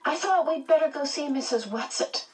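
Woman voicing a high-pitched, self-assured little boy. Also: lively intonation, moderate pace, distant mic. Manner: assured, insistent. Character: small, self-assured, precocious young boy. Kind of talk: audiobook character voice.

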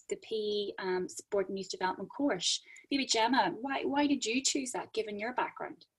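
A woman's voice: medium pitch, slightly rough. A woman lecturer hosting a casual podcast chat with students, often repeating words as she thinks.

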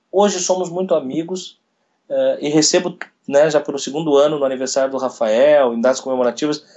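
A man, a radio sports journalist telling a story in an interview, retelling events quickly with quoted dialogue. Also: fairly high pitch, a little mellow. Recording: dull lo-fi recording.

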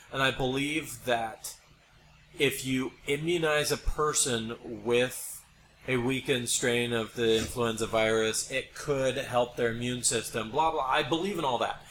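A man's voice: mid-range voice, fairly bright tone. An American man co-hosting a casual podcast, talking informally and emphatically about his opinions. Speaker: podcast co-host. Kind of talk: casual conversational podcast chat. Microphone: podcast microphone. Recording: noisy background in podcast recording.

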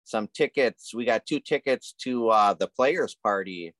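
A man with a fairly low voice co-hosts a podcast, recalling stories in an easygoing, halting way with frequent ums and uhs. He is miked close and dry, with no room around him. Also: no echo. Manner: relaxed storyteller, hesitant with many ums. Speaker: podcast co-host. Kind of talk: casual podcast chat.

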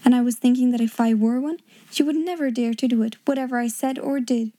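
A fearless young girl played by a woman storyteller speaks with grand, polite candor. The voice is high and soft-toned, slightly husky, in a storybook reading. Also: steady tone, quick pace, natural voice. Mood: grand, daring.

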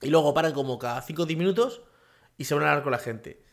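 A man's voice: a little high, quick pace, creaky. A man hosting a casual solo podcast from his home office, rambling with many fillers and tag questions. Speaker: podcast host.

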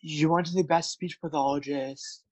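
A man's voice: fairly high pitch, steady tone, moderate pace, a little mellow, dry recording. A man who stutters, with repeated words and many fillers, speaking candidly as a guest about his work as a speech coach. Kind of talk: candid interview conversation.

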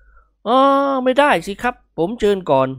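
Storybook gentleman official, voiced by a woman: polite, formal, insistent, somewhat high. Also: sing-song, unhurried, smooth, no room sound, studio quality. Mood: eager, courteous. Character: eager, courteous gentlemen officials, pressing dinner invitations.

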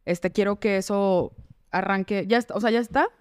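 Smooth-voiced Mexican woman in casual conversation. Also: medium pitch, normal speed, no room sound, clean studio sound. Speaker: guest, entrepreneur.

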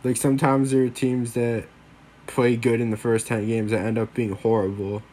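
Young guy rambling about sports on his podcast, kinda flat monotone, voice a bit off from a cold. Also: mid-range voice, normal speed, slight background noise. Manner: rambling, lots of "like" and "um", restarts. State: getting over a cold. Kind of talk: casual solo podcast chat.

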